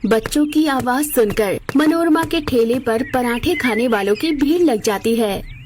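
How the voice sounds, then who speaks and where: A woman's voice: fairly high pitch, animated, a little slow, background noise. A woman narrating a scripted moral story as its storyteller.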